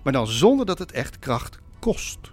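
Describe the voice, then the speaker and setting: An actor playing a gentle, eccentric, earnest craft enthusiast, patiently instructing in an audio drama. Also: male voice, medium pitch, sing-song, measured pace. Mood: encouraging. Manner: patient, instructive, childlike wording. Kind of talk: naturalistic Dutch audio drama acting.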